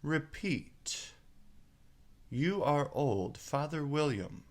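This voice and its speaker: Storybook caterpillar, performed by a man: stern, condescending, very slow, terse, creaky. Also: on the high side, animated, pitched above the performer's own voice, heavily acted. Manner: slow, drawn-out, terse questions. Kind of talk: storybook character voice.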